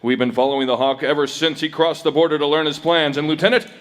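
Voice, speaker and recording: An actor playing a masked Western hero who urgently warns an army officer, in a stage reenactment of an old-time radio drama. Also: male voice, a little high, fairly even intonation, fast talker, long reverb tail, lightly acted. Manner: forceful, declamatory.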